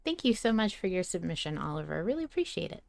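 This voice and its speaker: A woman hosting a podcast in casual discussion, thinking aloud in halting phrases. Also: lowish voice, big pitch swings, vocal fry, darkish tone, no room sound. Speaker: podcast host.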